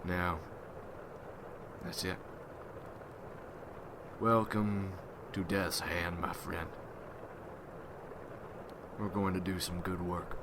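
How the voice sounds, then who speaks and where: A man acts out a vengeful vampire hunter in an ASMR roleplay, with a very low voice and a slow, measured delivery. He is grim and solemn, brooding over every phrase. Loud ambient noise runs behind him. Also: flat delivery. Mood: businesslike, grim. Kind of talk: ASMR roleplay, dramatic monologue.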